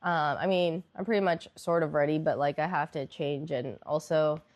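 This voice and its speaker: A woman's voice: deep voice, fairly even intonation, normal speed, a little mellow. A young woman streamer chatting casually with viewers, congested and nasal, rambling with lots of fillers.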